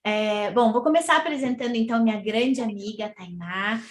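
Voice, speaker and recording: A woman's voice: on the high side, normal speed, echoey room. A woman hosting a casual live video chat, chatty, with many fillers and tag questions. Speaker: host.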